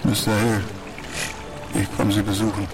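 A man's voice: on the low side, flat delivery, vocal fry, far from the mic, loud music behind the voice. An actor playing a troubled, hesitant man preoccupied with guilt and penance in a radio drama. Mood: hesitant, sombre. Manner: halting, hesitant.

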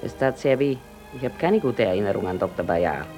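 A woman's voice: a bass voice, expressive, brisk, dark tone, faint music behind. An actress in a German radio drama playing a sick woman in an asylum, self-mocking, teasing and wistful. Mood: wistful, reminiscing.

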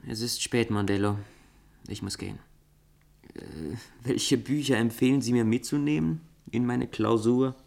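An actor in a German radio play playing a resigned, doubting writer who speaks in a hesitant, reflective manner. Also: male voice, medium pitch, normal speed, creaky, a little mellow, lightly acted. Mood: doubtful, resigned.

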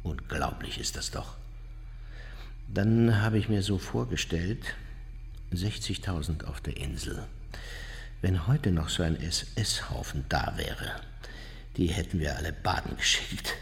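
A man's voice: low-pitched, slow, vocal fry, long pauses, full character voice. An actor playing an older, unrepentant Nazi war criminal, smug and matter-of-fact as he recounts his memoirs in a radio drama. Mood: bitter contempt. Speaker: narrator of his own memoirs. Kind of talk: radio drama monologue, reminiscing.

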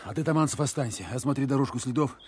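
An actor playing a curt, commanding army captain in a wartime radio play. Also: male voice, medium pitch, rapid-fire, natural voice. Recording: loud outdoor background noise.

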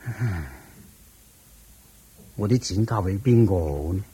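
Wealthy older household master: Hong Kong Cantonese, low and very husky, paternal, careworn, radio drama delivery. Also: male voice, slow, dark tone, exaggerated voice. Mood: troubled.

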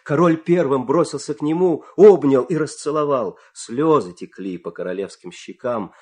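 A man narrating a fairy tale dramatically, expressive, with measured pauses. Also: mid-range voice.

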